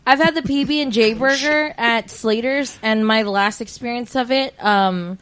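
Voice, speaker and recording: American female podcast co-host, slightly high voice, informal conversational delivery. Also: normal speed, fairly bright tone, smooth, poor audio. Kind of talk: casual chat.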